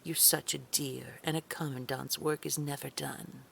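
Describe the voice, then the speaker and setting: A woman playing a haughty, menacing boss in an audio drama, sugary and condescending, often slipping into a breathy near-whisper. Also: very deep voice, fairly even intonation, moderate pace, a bit bright, slight background noise, heavily acted.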